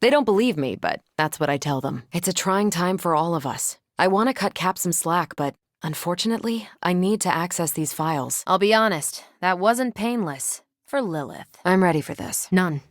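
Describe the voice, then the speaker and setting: A woman's voice: on the low side, animated, moderate pace, few pauses, no room sound, studio quality, close to an everyday voice. An American woman voicing a confident, wry military superheroine in a video game, with a casual, dryly humorous manner. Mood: wry, conversational. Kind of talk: video game character dialogue.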